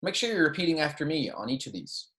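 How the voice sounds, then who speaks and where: A language teacher with a mid-pitched, very animated delivery lectures beginners on pronunciation. The instruction is step by step, with frequent check-ins, in a roomy, echoing recording. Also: fast. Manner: instructional, checking in often with okay and right. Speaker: Spanish teacher. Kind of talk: introductory language lecture on pronunciation, with repeat-after-me examples. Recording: roomy, echoey sound.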